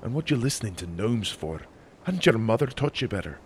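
A man voicing a stern, sardonic older sailor with a deep accented voice and a curt, scolding manner in a fantasy audiobook. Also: big pitch swings, a little fast, slight background noise. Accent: deep put-on accent. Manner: curt, chiding, dry.

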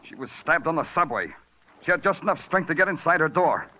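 An actor playing a world-weary, sardonic police detective in a vintage radio crime drama, terse and darkly poetic, on a muffled old recording. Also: male voice, on the high side, animated, quick pace. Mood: grim, sardonic. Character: hard-boiled, brooding, world-weary male police detective. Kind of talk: 1950s hard-boiled radio drama acting.

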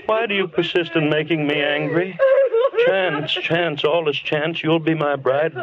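A man's voice: mid-range voice, natural voice. An actor playing a deranged, menacing man with a falsely tender, unctuous manner in an old-time radio horror play. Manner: unctuous, mock-soothing, savoring his words. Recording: muffled, noisy old radio broadcast recording.

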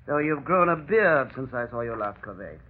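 An actor playing a treacherous, cowardly ex-convict in an old radio thriller, wheedling and excitable in his theatrical delivery. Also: medium pitch, moderate pace. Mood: sneering. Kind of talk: 1930s-40s radio thriller acting. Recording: dull, lo-fi old radio recording.

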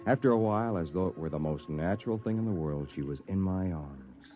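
A man's voice: low-pitched, animated, fairly quick. An actor in an old radio drama playing and narrating a stubborn stranded Earthman, on an old recording. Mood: reflective narration. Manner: indignant in dialogue, reflective when narrating. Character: exasperated, stubborn stranded Earthman, story's hero. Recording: dull old radio recording, faint music.

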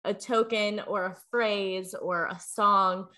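A woman's voice: medium pitch, steady tone, takes it slowly, sharp tone. A young adult woman chatting casually as the host of a podcast. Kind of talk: casual chat.

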